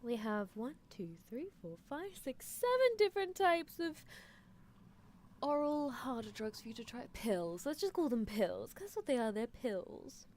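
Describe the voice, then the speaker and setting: A woman's voice: fairly high pitch, sing-song, measured pace, darkish tone. A woman voicing an obsessive, teasing mad-scientist girl in a roleplay ASMR, coaxing and clinical with a captive test subject. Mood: playful, coaxing.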